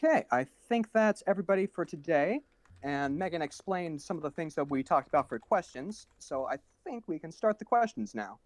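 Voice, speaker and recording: A man's voice: a little high, sing-song, brisk, no room sound. A man moderating a panel in a casual, chatty style, handing over from speaker to speaker. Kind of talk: casual chat.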